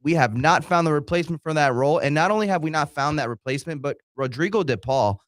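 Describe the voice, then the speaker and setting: A man's voice: a little high, fast, no room sound, studio quality. A man co-hosting a soccer talk show, offering analysis in a conversational way. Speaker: co-host and producer.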